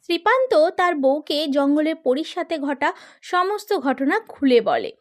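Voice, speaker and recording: A woman's voice: high-pitched, animated, moderate pace. A woman reading scripted narration for a children's fairy tale.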